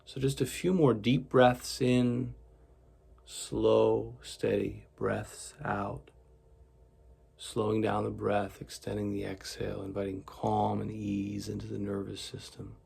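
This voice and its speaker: Soothing guy doing guided meditation, super gravelly n husky, slow careful phrasing. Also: lowish voice, flat delivery. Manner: gentle, soothing, deliberate.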